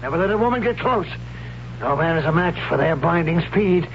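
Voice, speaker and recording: A gruff old warrior-teacher. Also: male voice, on the high side, expressive, a little fast, slight background noise. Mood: instructing. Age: older. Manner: gruff, blunt, instructing. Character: older male warrior hero, blunt sword teacher. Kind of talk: 1970s radio drama acting. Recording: dull old radio broadcast.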